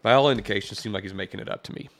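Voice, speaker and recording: A man's voice: on the low side, racing, vocal fry, slight background noise. A man hosting a podcast, talking through a sermon clip in a conversational way and reading Bible verses aloud. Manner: conversational, reads scripture aloud, wry chuckles. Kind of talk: critical commentary on a played clip.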